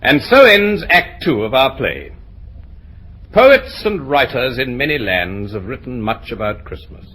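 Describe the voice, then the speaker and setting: A man making formal, measured scripted announcements on old-time radio. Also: medium pitch, sing-song, fairly bright tone, low-quality recording. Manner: solemn, measured oratory. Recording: old radio broadcast recording.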